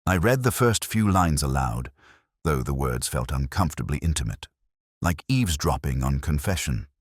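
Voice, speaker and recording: An exceptionally deep male voice narrates a literary mystery in the first person. His delivery is brooding and measured, yet lively in its rise and fall. The sound is close and dry, free of room echo. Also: slightly rough.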